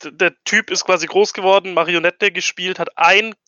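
A man's voice: sits high, fairly even intonation, normal speed, sharp tone, no room sound, lo-fi sound. A man playing a tabletop game and chatting casually with the group.

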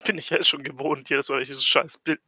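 A man's voice: medium pitch, fairly even intonation, fast talker, pushed and bright, slightly rough, no echo. A man chatting casually as a player in a tabletop role-playing game, on a slightly dull recording.

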